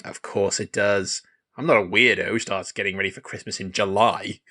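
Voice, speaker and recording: A man's voice: fairly low pitch, steady tone, moderate pace, sharp tone. A man acting a lonely, childlike, overexcited friend who loves Christmas, in a theatrical, gushing comedic audio play style. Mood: insistent.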